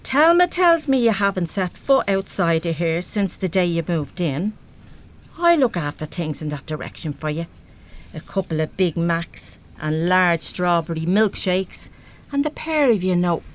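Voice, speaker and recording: An actress with an Irish accent playing a brash, big-hearted, uneducated working-class mother in a live radio play, talking bluntly and colloquially with mild swearing. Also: lowish voice.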